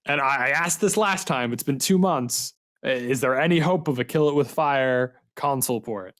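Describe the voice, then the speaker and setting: A man's voice: mid-range voice, moderate pace, a bit bright. A man with a mid-range voice speaks as a podcast host. His delivery is informal and conversational, like a loose chat between gamers. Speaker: podcast host and game reviewer. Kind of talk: casual podcast interview chat.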